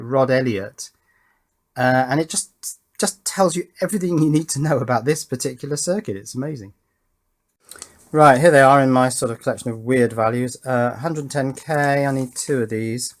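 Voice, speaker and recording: A middle-aged man, talking casually and thoughtfully as he hosts an electronics tutorial, rambling a little in a matter-of-fact way. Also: medium pitch. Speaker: electronics hobbyist video host. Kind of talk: casual chatty tutorial narration with reminiscence.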